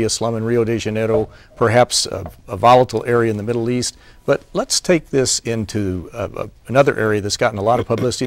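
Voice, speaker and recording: Formal male interview host. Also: medium pitch. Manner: formal, long winding questions. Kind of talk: interview questions.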